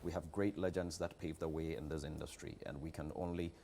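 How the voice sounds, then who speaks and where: A man's voice: a bass voice, little pitch movement, fairly quick, warm tone, a little hiss or hum. A man with a Ghanaian accent speaking as an impassioned, emphatic guest in a talk show interview. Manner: emphatic, repeats rhetorical questions. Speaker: theatre practitioner, studio guest. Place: TV studio.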